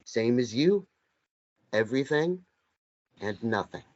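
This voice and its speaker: A man reading a brooding, cryptic poet at a play table read, in a low, dry way. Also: expressive, slow, highs cut off.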